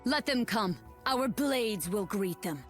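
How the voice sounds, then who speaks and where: Game hero: courageous young war leader, stoic, sure and solemn, medium pitch, music faintly underneath. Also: female voice, expressive, slow. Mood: defiant. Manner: solemn, confident, earnest. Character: stoic, courageous young woman blade-dancing army leader.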